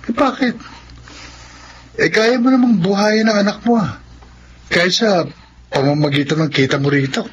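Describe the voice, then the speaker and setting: A man's voice: high voice, normal speed, pauses often, fairly bright tone. A man playing a curious, concerned friend in a Filipino radio drama, plain-spoken and questioning. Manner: questioning, hesitant starts. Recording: faint background noise.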